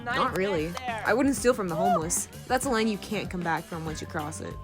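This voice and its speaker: A woman's voice: medium pitch, expressive, fairly quick, loud music behind the voice, lightly acted. A woman playing a sardonic, crude, teasing rogue of a space crew in an audio drama, with a sarcastic, needling delivery. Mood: wry, flippant.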